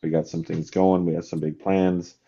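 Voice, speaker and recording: Man, very deep voice, upbeat host, dull lo-fi sound. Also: little pitch movement, moderate pace, mellow, a little hiss or hum. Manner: upbeat, encouraging, talks to the audience directly. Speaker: podcast host. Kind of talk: casual podcast chat.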